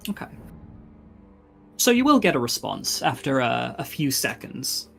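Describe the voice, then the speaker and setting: A woman narrating a tabletop roleplay game as game master, in a hesitant, halting way. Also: rumbling low, sing-song, gravelly, soft background music.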